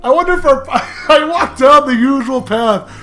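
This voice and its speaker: A man hosting a casual, comedic let's play, talking in an excitable, jokey and animated way. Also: very high-pitched, normal speed. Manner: laughs often while talking. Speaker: host. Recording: music behind the voice. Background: video game music.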